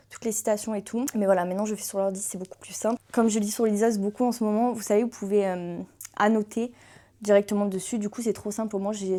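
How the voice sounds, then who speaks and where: A woman's voice: medium pitch, dark tone. A young woman chatting casually to camera as a book content creator, talking freely with frequent hesitations. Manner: chatty, fills pauses with hesitations. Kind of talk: casual vlog chat.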